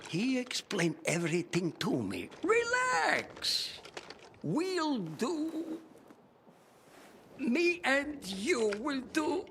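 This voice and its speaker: An actor playing an eccentric, excitable, scheming bomb-maker in a video game, theatrical and halting, repeating himself and drawing out words. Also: male voice, very high voice, noisy background, full character voice. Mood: cocksure. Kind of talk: video game cutscene acting.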